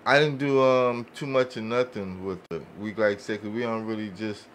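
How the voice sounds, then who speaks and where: Halting American man in casual chat. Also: fairly low pitch, steady tone. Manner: halting, repeats phrases as he goes. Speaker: podcast panelist. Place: indoor podcast table. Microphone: studio microphone. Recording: noticeable background noise, room ambience.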